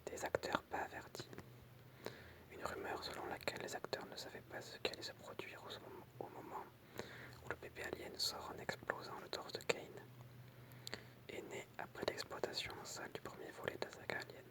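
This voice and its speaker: A person whispering in an ASMR style, reading out short pieces in a soft, explanatory way with casual asides. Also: normal speed. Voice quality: unvoiced, breathy whisper. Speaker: ASMR creator reading film trivia with commentary.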